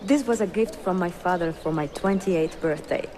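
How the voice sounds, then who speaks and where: An actress playing a bookish, spirited young Italian woman bookseller, friendly and expressive, with an Italian accent, in a dramatic game cutscene. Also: lowish voice, normal speed, music in the background, lightly acted. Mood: playful.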